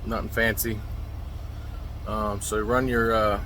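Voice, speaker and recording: A man's voice: fairly low pitch, little pitch movement, a little slow, pushed and bright, a little hiss or hum. A man talking informally in a rambling, plain-spoken way, giving an unscripted how-to walkthrough on a handheld recording in a truck cab. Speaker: truck driver filming a DIY install video. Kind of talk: casual unscripted how-to vlog. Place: inside a semi truck cab.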